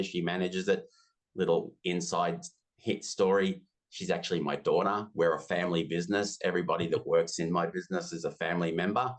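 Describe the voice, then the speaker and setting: A deep-voiced Australian man in his fifties talks casually in an interview. He is chatty and self-deprecating and slips into quick asides. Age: 50s. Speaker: interview guest, business software community founder.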